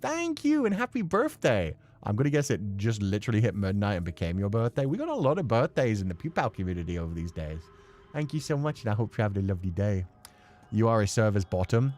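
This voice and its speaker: A man streaming a game with playful, expressive, casual commentary. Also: on the low side, quick pace.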